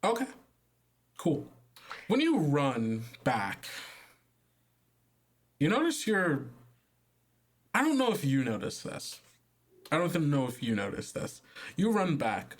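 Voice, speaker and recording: A man's voice: a little high, wildly swooping pitch, slow pace, long pauses. A man improvising casual tabletop game narration as game master, rambling and repeating himself with amusement.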